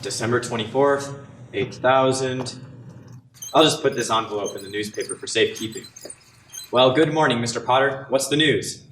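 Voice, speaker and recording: A man's voice: medium pitch, normal speed, fairly bright tone, close to an everyday voice. Radio play part: man acts muddled, crowing small-town uncle, reverberant noisy room. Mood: gleeful. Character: chatty, gloating, absent-minded small-town uncle. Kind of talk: amateur radio play acting. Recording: echoey room with background noise.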